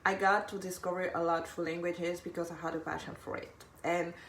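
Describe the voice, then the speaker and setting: A woman's voice: lowish voice, flat delivery, brisk, a bit bright. A woman from there, giving an earnest, informal live talk as a personal stylist, rephrasing and repeating for emphasis. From Cameroon. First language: French. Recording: echoey room with background noise.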